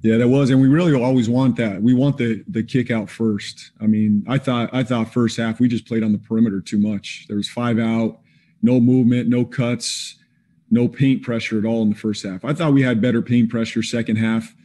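A man in his forties, a head coach taking postgame questions over a video call. His voice is mellow and dark, and his answers come measured and plain, full of "you know" and "um". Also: medium pitch, fairly even intonation. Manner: measured, plain-spoken, with frequent fillers. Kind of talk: postgame press conference. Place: indoors in front of a team backdrop.

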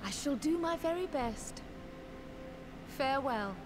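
Highish, earnest little scholar mage. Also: female voice, lively intonation, slow, background noise. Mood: gentle. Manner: formal, thoughtful, articulate. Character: small, youthful female scholar mage, polite, earnest, thoughtful. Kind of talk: video game cutscene acting.

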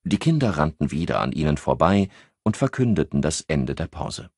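A man with a husky voice narrating a crime novel as an audiobook. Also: extremely low pitch, very animated, normal speed, a little mellow, no room sound, studio quality.

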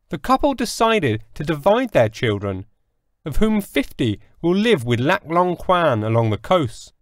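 Male audiobook narrator for fairy tales: unhurried, measured storybook read, close dry sound. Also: fairly high pitch, dry recording. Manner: measured, storybook delivery with deliberate pauses. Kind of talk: scripted fairy tale narration.

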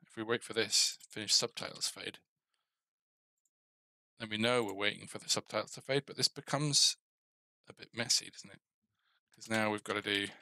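Bright, gravelly man muttering over code. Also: on the low side. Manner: muttering to himself in fragments. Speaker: game developer streaming his coding. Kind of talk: casual think-aloud coding commentary. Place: indoor desk. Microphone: headset. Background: keyboard typing, clicks, faint music.

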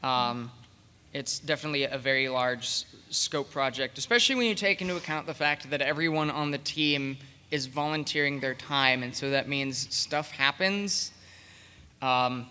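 A man speaking unscripted as a panelist, with a hesitant, halting delivery. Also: medium pitch, clear, resonant tone. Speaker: panelist, project leader. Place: convention panel room with audience. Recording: reverberant hall, bright sound.

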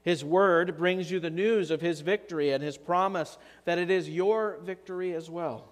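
A man in his thirties delivers a sermon in an earnest, unhurried way, leaning on pauses for emphasis. His voice sits a little high for a man. The church sanctuary around him lets every phrase ring out with a long reverb. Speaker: pastor. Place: church sanctuary, standing at the altar.

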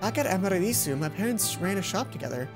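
A woman's voice: medium pitch, big pitch swings, fast talker. A young adult woman reading a visual novel aloud as a let's play host, speaking into a headset in a room. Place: indoor room, seated at a computer. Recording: background game music.

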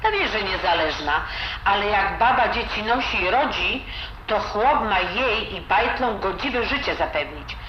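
A woman's voice: medium pitch, lively intonation, a little slow, natural voice. A woman playing a blunt, disapproving old grandmother with a Silesian accent and grumbling, dialect-filled talk in an audio drama. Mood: scolding. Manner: grumbling, colloquial, full of dialect words. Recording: roomy, dull, noisy home recording.

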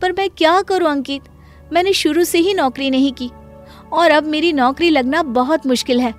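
A simple, gentle young daughter-in-law from an animated family story , acted by a woman. The voice is very high and deferential, with music underneath. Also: normal speed, lightly acted. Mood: resigned.

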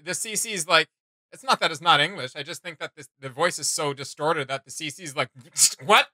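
A man's voice: on the high side, normal speed, sharp tone, no room sound. A young man hosting a reaction video with casual, conversational commentary. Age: young adult. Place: indoor home studio room.

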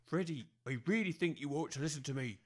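A man's voice: fairly high pitch, fast, natural voice. A man playing a hapless, hesitant village workmate in an audio comedy drama, meek and casual. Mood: hesitant, worried.

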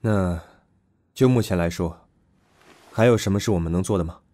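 男声演绎低沉克制的年轻恋人. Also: male voice, low-pitched, moderate pace, warm tone, close to an everyday voice. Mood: subdued. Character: protective young man, lover, intimate and possessive. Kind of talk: Chinese audio drama acting.

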